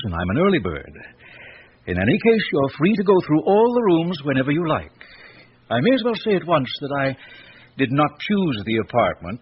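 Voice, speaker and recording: An actor playing an eccentric, courteous middle-aged gentleman in a radio drama, speaking with theatrical precision. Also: medium pitch, lightly acted. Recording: dull, noisy old radio recording.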